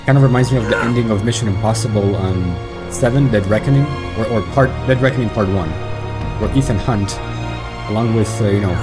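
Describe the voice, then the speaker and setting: Man commentating a game over loud music. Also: on the low side. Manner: rambling, reacting to gameplay. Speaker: gaming channel host. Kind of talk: let's play commentary.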